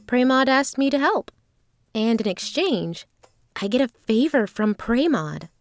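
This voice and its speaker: A woman playing an earnest, guarded traveling performer with formal, measured phrasing in a fantasy audio drama. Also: a little high, expressive, no echo, studio quality. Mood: composed, explaining.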